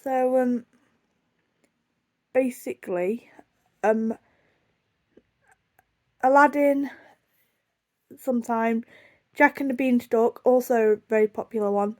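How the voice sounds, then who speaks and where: A young British woman with a fairly high voice talks casually to her viewers. She rambles in a chatty, self-deprecating way, often sidetracking herself as she tells her story. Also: slow pace, pauses often, darkish tone. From United Kingdom. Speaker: video blogger.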